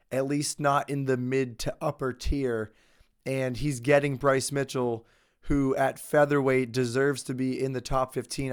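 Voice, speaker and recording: A man's voice: medium pitch, clean tone. Man with a cold giving fight picks. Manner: conversational, confident opinions with fillers. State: has a cold, losing his voice. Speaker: host. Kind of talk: casual sports picks commentary.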